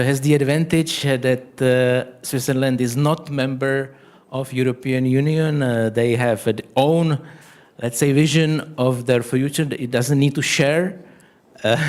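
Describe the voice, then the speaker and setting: A man's voice: medium pitch, a little mellow. A man moderating a seminar, introducing the next speaker with many hesitations.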